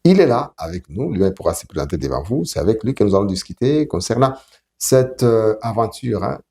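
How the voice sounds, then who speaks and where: Male interviewer, Congolese French accent, lowish voice with fry, halting delivery. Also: very animated, dark tone. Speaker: TV show host. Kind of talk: hosting a talk show interview.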